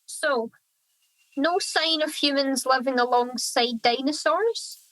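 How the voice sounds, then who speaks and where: Actress as grandly enthusiastic comedy radio host: female, high and bright, unhurried delivery, faint music. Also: dry recording, poor audio. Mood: earnest. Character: upbeat female host of time-travel history show. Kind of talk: scripted comedy radio presenting.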